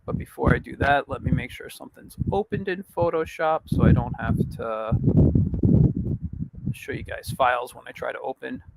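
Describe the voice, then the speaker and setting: A man hosting his podcast on a casual livestream announcement, rambling with fillers, his voice raspy at times. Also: medium pitch, steady tone, moderate pace, heavy background noise. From New York. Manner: rambling, frequent fillers and restarts. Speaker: podcast host.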